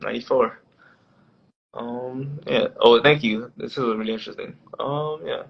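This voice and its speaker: Guest, male, late 20s, rambling casual talker, mid-pitched voice, dull lo-fi audio. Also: fairly even intonation, normal speed, vocal fry, husky. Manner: rambling, many fillers and restarts. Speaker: podcast guest. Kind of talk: casual podcast conversation. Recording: dull lo-fi sound.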